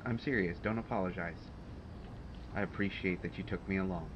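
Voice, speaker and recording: A man's voice: low-pitched, fairly quick, natural voice. A man playing a testy, sardonic supervillain genius in an audio roleplay, speaking in a dry, haughty, hesitant way with exasperation. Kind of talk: intimate audio roleplay monologue to a listener. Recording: dull, noisy home recording.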